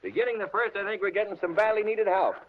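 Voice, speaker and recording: A man's voice: high-pitched, fast, natural voice. A man playing a friendly, folksy milkman with an American accent in 1950s radio drama, polite and chatty. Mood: cheerful. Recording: slightly noisy muffled vintage radio recording.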